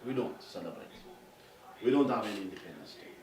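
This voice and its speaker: Man from Ethiopia, deep-toned, hesitant interview answers, far from the mic, echoey room. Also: animated, darkish tone. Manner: halting, many fillers and restarts. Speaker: airline regional director. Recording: distant roomy mic with background noise.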